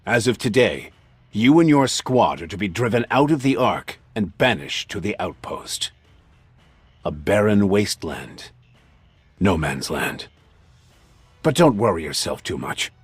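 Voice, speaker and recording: A man playing a stern, domineering older military commander in a dramatic video game, gruff, scornful and commanding. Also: fairly low pitch, very animated, measured pace, long pauses, no echo, soft background music, exaggerated voice. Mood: cold, scornful anger.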